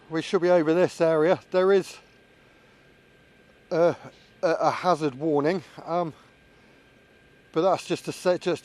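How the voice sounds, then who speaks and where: A man's voice: a little high, darkish tone. A man giving casual, musing running commentary as a vlogger flying a drone, thinking aloud as he goes. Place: outdoors.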